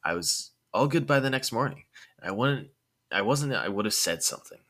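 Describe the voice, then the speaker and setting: Man playing a brash teen ninja boy, excitable, casual, raised pitch, bright, audiobook. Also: moderate pace. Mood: carefree, assured. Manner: casual, blurting.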